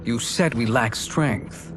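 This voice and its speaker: An actor playing a calculating sorcerer in a video game cutscene, deliberate and articulate. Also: male voice, mid-range voice, background noise, lightly acted. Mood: probing. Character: calculating, smooth-talking male sorcerer.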